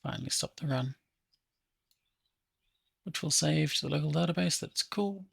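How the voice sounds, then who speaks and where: A man with a heavily fried, husky voice talks through a coding session in a casual, think-aloud way. He takes his time, as if half speaking to himself. The sound is close and dry. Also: fairly high pitch, moderate pace, fairly bright tone, no echo. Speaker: app developer hosting a coding session. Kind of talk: casual think-aloud screen-recording tutorial.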